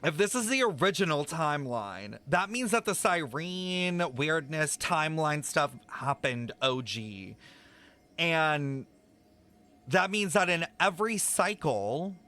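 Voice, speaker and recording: A young man streaming a game reaction, thinking out loud with excited speculation and casual swearing. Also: on the high side, slow pace.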